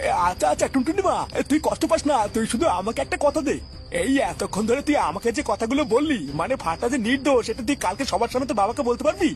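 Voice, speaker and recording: A man's voice: very high-pitched, lively intonation, quick pace, soft background music. A man voicing a fatherly old grandfather in a cartoon, hesitant, coaxing and soothing. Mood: gentle, concerned.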